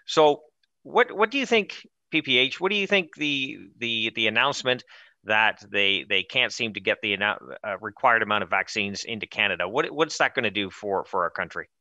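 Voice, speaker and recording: A man in his thirties hosting a political talk show over a headset mic, emphatic and animated in delivery. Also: fairly low pitch, moderate pace, dry recording, dull audio. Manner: energetic, emphatic, gestures while talking. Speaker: show host. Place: studio with branded backdrop, indoors.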